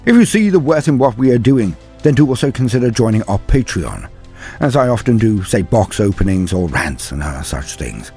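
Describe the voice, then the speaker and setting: A man's voice: lowish voice, big pitch swings, normal speed, dark tone, breathy edge, soft background music. A British man hosting a hobby channel, narrating and commenting in a theatrical manner with florid, old-fashioned turns of phrase. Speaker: YouTube host of a tabletop wargame lore channel. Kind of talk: dramatic lore narration and opinion commentary.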